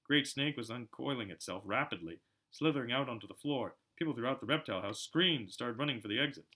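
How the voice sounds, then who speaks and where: A man reading a storybook aloud as an amateur narrator, in an expressive style. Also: mid-range voice, quick pace. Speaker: amateur audiobook reader.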